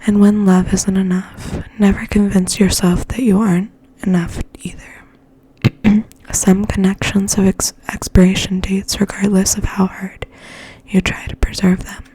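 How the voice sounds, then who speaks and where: Whispering woman reading softly, flat and hushed. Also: medium pitch, low-quality recording. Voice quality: breathy, mostly unvoiced whisper. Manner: slow, gentle, hushed. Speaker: ASMR host and poetry reader. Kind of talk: whispered ASMR poetry reading with soft chat.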